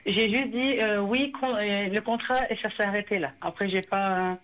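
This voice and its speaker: A woman calls in by phone to a psychic reading show. She answers politely and agrees quickly, and she thanks the host warmly. Her voice sits at a middle pitch. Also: low-quality recording. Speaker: caller. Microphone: phone call.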